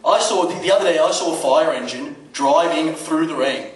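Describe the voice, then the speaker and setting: A man's voice: a little high, moderate pace. A man from Dubai performing a conversational stand-up comedy routine, peppered with rhetorical questions and swearing. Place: comedy club stage. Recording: roomy, distant mic, noisy club recording. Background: audience laughter, background noise.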